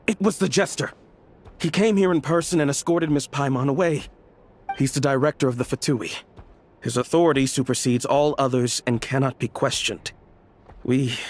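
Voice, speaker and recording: A man's voice: medium pitch, natural voice. A man voicing an anxious, deferential underling in a video game, hesitant and apologetic as he speaks. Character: anxious, deferential male underling making excuses. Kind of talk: video game cutscene acting.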